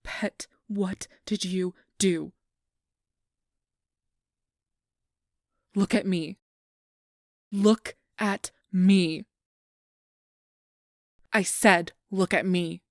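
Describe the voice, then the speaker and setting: A woman's voice: on the low side, fairly even intonation, drawn-out words, pauses often, no room sound, clean studio sound. A woman playing a possessive, manipulative fairy in an ASMR audio roleplay, imperious and desperate, often whispering her commands. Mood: commanding. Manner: whispery, commanding, swinging to pleading outbursts.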